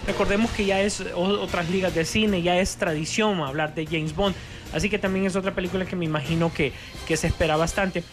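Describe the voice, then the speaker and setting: A Honduran man hosts a casual film show in a noticeably high voice. He talks in long, wordy stretches, stumbling over repeated words. Light music plays behind him. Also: fairly even intonation, brisk. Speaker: radio show host. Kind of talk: casual, chatty film talk. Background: background music.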